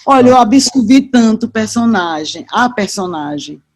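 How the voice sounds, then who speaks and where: A woman's voice: a little high, lively intonation, moderate pace, darkish tone. An older woman with a Northeastern Brazilian accent, reminiscing casually as an interview guest, chatty and full of asides and tag questions.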